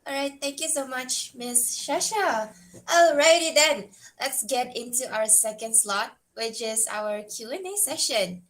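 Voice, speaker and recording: Slow, deliberate woman moderating a Q&A. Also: a little high, a bit bright. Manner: slow, deliberate reading of questions. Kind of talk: hosting a Q and A session.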